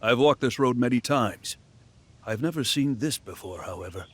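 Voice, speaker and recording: A man voicing a calm, wise servant of the gods in a video game, measured and slightly husky. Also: medium pitch. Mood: calm, earnest. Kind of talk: dramatic game cutscene acting.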